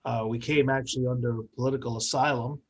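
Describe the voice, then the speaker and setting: A mature man speaks as a guest in a radio interview at a medium pitch. He explains things in an articulate, measured manner, telling his story conversationally with small pauses. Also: steady tone. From born in the Dominican Republic, grew up in New York. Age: middle-aged or older.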